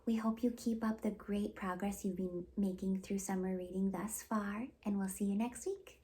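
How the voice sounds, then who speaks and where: A woman hosting storytime, reading a children's picture book aloud in an expressive, deliberate storytelling delivery. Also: mid-range voice, a little hiss or hum. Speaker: storytime host and reader.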